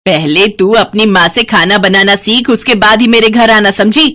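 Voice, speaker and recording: A woman voicing a sharp-tongued, contemptuous older mother-in-law in a Hindi cartoon story, loud and taunting. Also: mid-range voice, steady tone, moderate pace, faint music behind, close to an everyday voice. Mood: angry, scornful.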